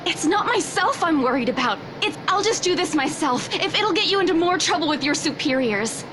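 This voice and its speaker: A woman's voice: very high voice, animated, a little fast. An actress playing an anxious, earnest young woman in a visual novel game, hesitant and weighed down by guilt, putting others first. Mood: worried. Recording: clear noise behind the voice.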